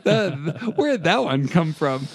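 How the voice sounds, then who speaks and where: A man's voice: fairly high pitch, sing-song, slow, breathy edge, no room sound, clean studio sound. A man co-hosting a podcast in casual debate, bantering in a self-deprecating way. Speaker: podcast co-host.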